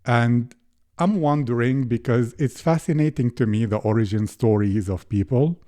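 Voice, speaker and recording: A man hosting a business podcast, reading a scripted intro and then asking interview questions in a measured way. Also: mid-range voice, warm tone. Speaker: podcast host.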